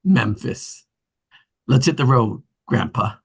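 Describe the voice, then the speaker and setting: A man at a script table read playing an affectionate, exuberant father and son in a family drama, with a husky voice. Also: mid-range voice, animated, slow pace, full character voice. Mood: teasing, affectionate.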